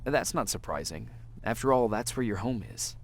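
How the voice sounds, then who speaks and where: An actor playing a calm, sympathetic man, speaking with understated gentleness in game dialogue. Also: medium pitch, normal speed, vocal fry.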